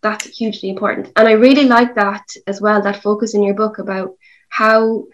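A woman's voice: medium pitch, fairly even intonation, a little fast. Encouraging Irish woman interviewer. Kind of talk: conversational interview questions.

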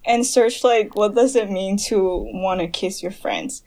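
A woman's voice: mid-range voice, unhurried, dark tone. Chatty young woman guest from Hong Kong. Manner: chatty, many fillers, laughs while telling. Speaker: podcast guest. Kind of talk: casual chat.

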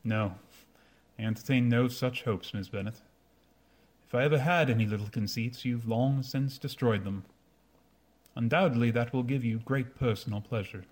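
A man playing a proud, haughty young gentleman in a radio drama, speaking stiffly and courteously. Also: mid-range voice, moderate pace, natural voice. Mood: wounded, formal.

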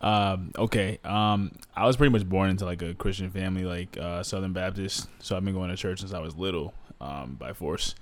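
A man's voice: sits low, fairly even intonation, a little fast, few pauses. A man in his twenties chatting casually as a podcast guest, rambling and repeating himself. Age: 20s. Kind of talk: casual chat.

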